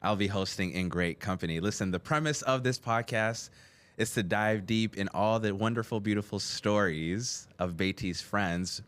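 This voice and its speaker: Man, podcast interviewer, notably deep voice, upbeat, playful, conversational. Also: a bit bright. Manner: upbeat and playful, teasing and quick to laugh. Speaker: guest interviewer, podcast host.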